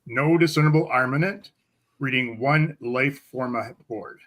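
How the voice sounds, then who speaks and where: A man's voice: medium pitch, measured pace, heavy background noise, lightly acted. A man playing a dutiful starship security officer in an amateur radio drama, giving terse, clipped reports. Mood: businesslike. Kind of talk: amateur radio theatre reenactment.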